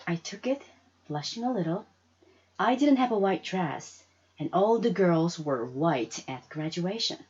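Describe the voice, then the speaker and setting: A woman reading a children's story aloud as an expressive narrator. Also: fairly low pitch, a little slow, husky, lo-fi sound. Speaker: storybook reader.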